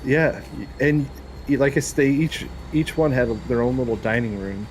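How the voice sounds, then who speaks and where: A man's voice: medium pitch, normal speed, a little hiss or hum, low-quality recording. A man chatting casually with friends while driving in a truck game, rambling through a story. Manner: rambling, restarts stories. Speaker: convoy player. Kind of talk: casual chat over voice chat.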